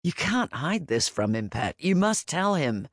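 A woman voicing a gentle, protective older man who speaks softly and calmly, with a firm edge. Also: a little high, unhurried, husky, no echo, studio quality, full character voice. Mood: gentle, fatherly. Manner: soft, calm and fatherly.

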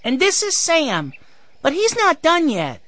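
A man voicing an eager, excitable six-year-old boy who exclaims his way through a children's audiobook. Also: very high voice, normal speed, voice pushed up, exaggerated voice.

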